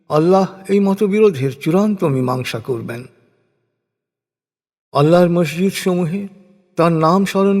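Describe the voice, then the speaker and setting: A man narrating translated scripture in a solemn, measured, formal way, phrase by phrase with falling endings. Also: fairly high pitch, a little mellow. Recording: long reverberant hall effect.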